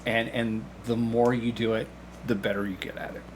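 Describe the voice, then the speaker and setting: A man's voice: on the low side, little pitch movement, normal speed, poor audio. A middle-aged man gives a casual interview. He answers in an anecdotal, conversational way, often relaying what people said. There is some background noise on the recording. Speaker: podcast guest, amateur stage actor.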